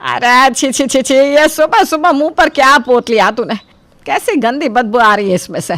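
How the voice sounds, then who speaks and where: A woman playing a sharp-tongued, nagging, sarcastic mother-in-law in a cartoon family drama. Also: high-pitched, normal speed, dry recording. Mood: irritated.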